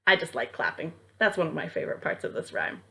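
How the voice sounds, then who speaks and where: A woman's voice: deep voice, sing-song, quick pace, gravelly, background noise. A woman leading a children's storytime as a librarian, reading aloud and speaking directly to young listeners with prompts and questions.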